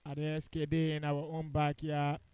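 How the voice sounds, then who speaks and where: A man's voice: a little high, completely flat delivery, normal speed, darkish tone, smooth, dry recording. A man giving live football commentary in a critical, opinionated way, repeating points for emphasis.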